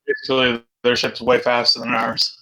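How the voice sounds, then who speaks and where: A man's voice: medium pitch, completely flat delivery, moderate pace, pushed and bright, poor audio. A man playing a tabletop role-playing game, talking casually with a teasing, needling manner. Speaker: player, gunner.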